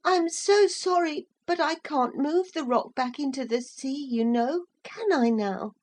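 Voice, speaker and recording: A woman voicing a timid, frightened little boy in a storybook reading, with a high, tearful voice. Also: unhurried, a little hiss or hum. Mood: fearful.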